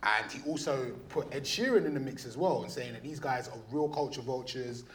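A man hosting a podcast live on stage, chatty and laughing, with loud bursts to the audience. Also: medium pitch, brisk, a little hiss or hum, poor audio. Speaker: podcast host.

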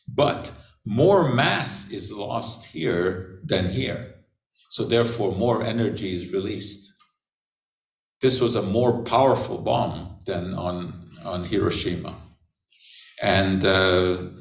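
Slow-talking man lecturing in an echoey room. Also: fairly low pitch, recorded from a distance. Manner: unhurried, frequent pauses and filler words. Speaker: chemistry lecturer. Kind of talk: unscripted science talk.

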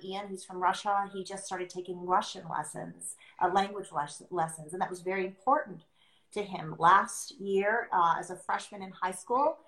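A middle-aged woman speaking reflectively and conversationally on a livestream, earnest and deliberate, pausing as she chooses her phrases. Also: medium pitch, a bit bright. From born outside the US, adopted into America. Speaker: podcast host sharing her experience as an adoptive mother. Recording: roomy, echoing sound.

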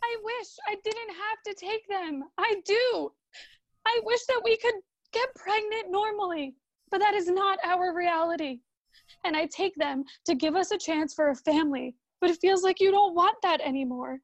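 Radio drama wife, played by a woman: very high voice, plaintive and reproachful, dry, slightly dull recording. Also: normal speed, no echo. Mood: wounded, pleading. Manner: emotional, accusing, plaintive. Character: hurt, reproachful young wife longing for a family.

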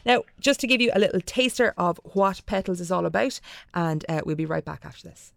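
A woman's voice: on the low side, lively intonation, brisk, vocal fry. A woman hosting a conversational radio interview.

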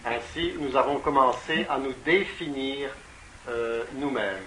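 A man's voice: mid-range voice, unhurried, sharp tone, rough recording. A man with a mid-range voice translates a speaker into French, line by line. He hesitates often and repeats words while searching for the next phrase. Faint noise sits under the recording. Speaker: interpreter. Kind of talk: consecutive interpretation into French.

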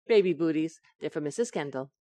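A woman's voice: sits low, lively intonation, a little fast, warm tone, no echo. A woman playing a scatterbrained, coaxing young wife in an old-time radio sitcom, with a breezy, innocent comic delivery. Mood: breezy, confiding.

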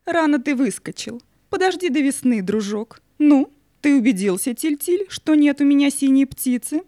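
A woman playing the spirit of Night in an audio play, stately and condescending, with a theatrical, drawn-out delivery. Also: sits high, lively intonation, mellow, no echo, studio quality. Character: Night, stately female spirit guarding her secrets, motherly yet cunning.

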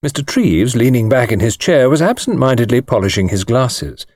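British male audiobook narrator for mystery fiction, lively intonation, very dry recording. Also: medium pitch, normal speed, no echo. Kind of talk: scripted narration of a mystery novel.

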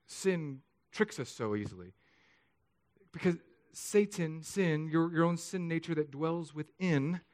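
A man in his thirties from Southern California, preaching a sermon in an earnest, confessional way that swings between soft and emphatic. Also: fairly high pitch. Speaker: pastor.

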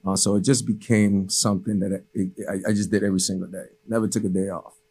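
A man born in Haiti and raised around Boston talks as a podcast guest in a casual, reflective way. His voice is low and husky, with a mellow tone. He sounds like a coach looking back in a studio conversation. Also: level tone, fast. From born in Haiti, raised in the Boston area from age eight. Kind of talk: casual reflective interview talk.

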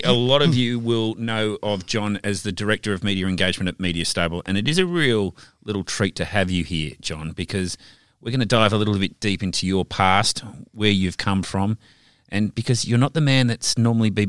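A man's voice: on the low side, a bit bright, smooth, no echo, studio quality. A man hosting a podcast, chatty and enthusiastic as he introduces a guest. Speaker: podcast host. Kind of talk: casual interview talk.

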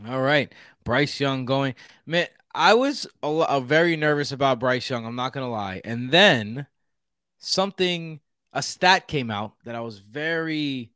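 A man's voice: mid-range voice, expressive, unhurried, sharp tone, smooth, dry recording, clean studio sound. A man from Houston co-hosting a casual sports podcast. He talks in a rambling way and repeats words while he thinks. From Houston, Texas. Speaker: podcast co-host.

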